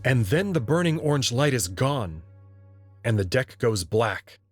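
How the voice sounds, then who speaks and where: A man reads a first-person sci-fi story slowly and with a measured delivery. His pitch moves in a lively way. Soft music plays behind him. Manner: slow, measured reading. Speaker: narrator.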